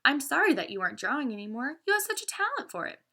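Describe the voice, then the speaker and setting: A woman reading the part of a kind, admiring schoolteacher in a storybook, gentle and appreciative. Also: sits high, very animated, fast talker, full character voice. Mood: gentle regret.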